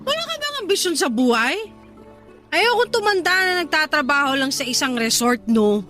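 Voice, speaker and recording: High-voiced, sassy, ambitious young Filipina. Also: female voice, very animated, normal speed, pushed and bright, faint music behind, heavily acted. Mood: defiant, boastful. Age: young adult. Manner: sassy, complaining, colloquial banter. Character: ambitious, restless young resort worker woman, sassy. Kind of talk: Tagalog radio drama dialogue.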